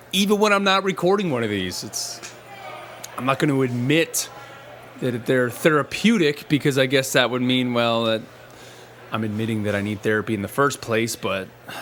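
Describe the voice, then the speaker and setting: Actor as a rueful, halting man confiding. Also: mid-range voice, a bit bright, close to an everyday voice. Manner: halting, rambling, self-deprecating. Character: rueful, guilt-ridden man confiding in an audio log. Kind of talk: audio drama monologue, casual. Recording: faint background noise and ambience.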